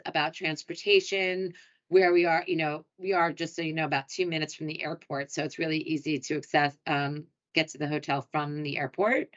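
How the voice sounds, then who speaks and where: A woman with a New York accent talks through a webinar in a chatty, upbeat way and pokes fun at herself. Her voice sits a little low. The sound is muffled and lo-fi, as if it comes through a computer mic on a video call. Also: fairly bright tone. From New York City. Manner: chatty, upbeat, self-deprecating. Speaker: hotel sales director presenting a webinar. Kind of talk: casual webinar presentation.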